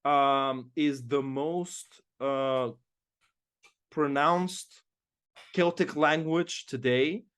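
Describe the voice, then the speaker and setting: A man on a history podcast panel, curious and questioning in a relaxed chat. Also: on the high side, drawn-out words, smooth. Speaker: history video creator on the panel. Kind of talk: casual roundtable podcast chat.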